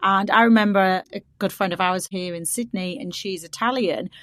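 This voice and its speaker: A woman's voice: mid-range voice, normal speed, fairly bright tone, no echo. A woman hosting a podcast, asking long, winding, curious questions in casual conversation. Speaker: podcast host. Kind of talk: casual interview chat.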